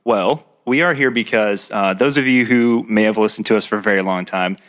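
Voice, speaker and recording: A man's voice: fairly low pitch, brisk, fairly bright tone. A man co-hosting a podcast from someone's home, explaining things at length with many fillers and restarts. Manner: rambling, filler-heavy explaining. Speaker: podcast co-host. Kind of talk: casual podcast chat. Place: a private home.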